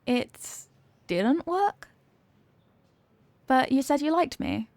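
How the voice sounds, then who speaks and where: A woman's voice: sits high, animated, very slow, darkish tone, dry recording, exaggerated voice. A young woman acting a flustered, coy popular cheerleader girl with a secret crush, in an intimate ASMR romance roleplay. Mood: indignant. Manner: coy, flustered, dramatic, with hesitant stammers.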